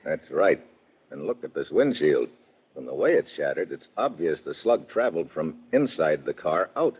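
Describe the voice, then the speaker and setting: An American man acting as a tough, brisk government agent questioning people in a 1950s radio detective drama. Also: rumbling low, sing-song, slightly rough, heavily acted. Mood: musing, frustrated. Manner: brisk, hard-boiled, questioning. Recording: muffled, noisy old radio recording.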